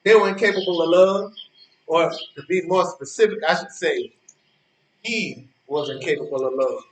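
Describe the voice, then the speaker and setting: Male, high lively voice, acted smug needling rival, boastful, repetitive emphasis, stage play, distant roomy recording. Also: normal speed, lightly acted. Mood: smugly accusing. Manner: taunting, repeats phrases for emphasis, colloquial. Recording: roomy, echoey, distant from microphone.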